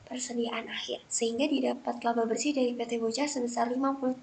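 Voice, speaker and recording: A woman's voice: a little high, steady tone, fast talker, vocal fry, a little mellow. A young woman presenting a scripted lesson step by step in a methodical, recited way, like a student presenter. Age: young adult. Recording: noticeable background noise.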